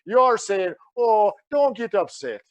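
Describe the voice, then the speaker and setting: Comedy sketch role: man as folksy, touchy Irish office clerk, very animated and high-set, muffled dry sound. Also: slow, no echo, exaggerated voice. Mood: indignant. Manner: folksy, exclamatory, easily offended, flattering. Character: chatty, touchy, sentimental old-fashioned Irish family-court clerk.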